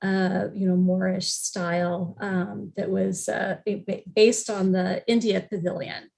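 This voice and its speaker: A woman's voice: medium pitch, little pitch movement, a little slow, creaky, a little mellow. A woman on a panel informally explaining history as a library representative, with very frequent hesitations as she goes.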